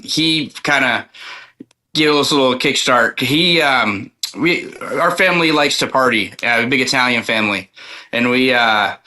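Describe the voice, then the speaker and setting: A man's voice: mid-range voice, sharp tone, poor audio. A male singer-songwriter giving a casual interview, rambling and repeating words with plenty of "like" and "you know". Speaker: country singer-songwriter guest.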